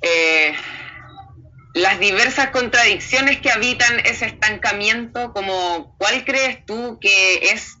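A woman's voice: mid-range voice, takes it slowly, bright, forceful tone. A woman interviewing in a conversational way, feeling out her phrasing, on muffled audio.

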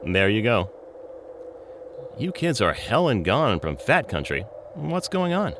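Male actor as smart-aleck talking coyote for comic audio drama: slangy, teasing, hugely swooping pitch, clearly noisy backdrop. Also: big pitch swings, slow pace, fairly bright tone, exaggerated voice. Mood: mocking, breezy. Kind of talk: comedic audio drama acting.

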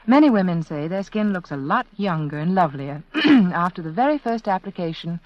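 A woman's voice: fairly low pitch, sing-song, fairly quick, no echo. A woman reading a 1940s radio advertisement as spokeswoman, persuasive and carefully phrased. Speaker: commercial spokeswoman. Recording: muffled 1940s radio recording.

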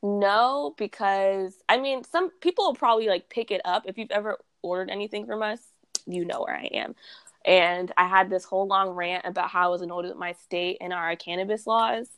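A woman's voice: mid-range voice, moderate pace, a bit bright, clear, resonant tone, no echo. A young woman from Texas, a guest on a podcast, chatting casually about her brand, laughing often and saying "like" a lot. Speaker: guest, cannabis brand founder.

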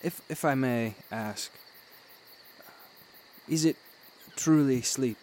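Courteous, unflappable gentleman traveller acted by a man. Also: mid-range voice, slight background noise, lightly acted. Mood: courteous, curious. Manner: polite, eloquent, measured. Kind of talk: radio drama acting.

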